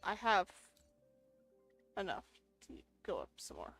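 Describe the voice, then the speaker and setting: A woman's voice: mid-range voice, wildly swooping pitch, unhurried, gravelly, a bit bright, slightly rough, soft background music. A woman giving casual gameplay commentary, informally thinking aloud as she plays. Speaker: gamer playing a role-playing game for viewers.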